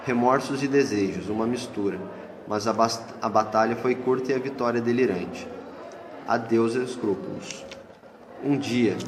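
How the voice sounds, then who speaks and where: Male radio play narrator in echoey hall. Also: mid-range voice. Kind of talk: scripted literary narration. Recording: echoey hall with clear noise.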